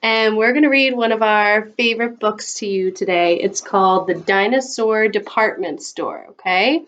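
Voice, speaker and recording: A woman reading a picture book aloud to a young child, expressive and coaxing, in the manner of a teacher. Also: medium pitch, normal speed, highs cut off. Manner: expressive, coaxing talk aimed at small children.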